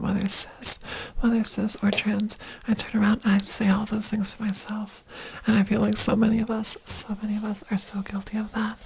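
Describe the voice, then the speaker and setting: A woman whispering in an earnest ASMR talk, breathy, with giggly asides. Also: medium pitch, warm tone, rough recording. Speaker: ASMR creator.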